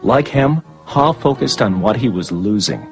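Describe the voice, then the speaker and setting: A man giving scripted storybook narration in an expressive way, with music behind him. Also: lowish voice, measured pace.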